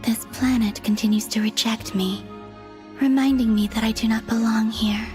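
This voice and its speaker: A serene, detached young maiden from another world, acted by a woman for a video game. The voice is fairly high, soft and breathy, with measured, almost deadpan phrasing. Long reverb and background music surround it. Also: lightly acted. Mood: wistful, serene. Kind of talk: dramatic video game voice acting.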